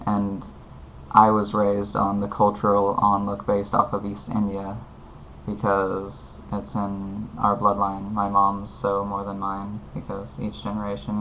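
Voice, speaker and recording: A man giving rambling, earnest advice in a casual video recorded at home. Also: on the low side. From United States. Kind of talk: casual heartfelt advice monologue. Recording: dull lo-fi home video with faint hiss.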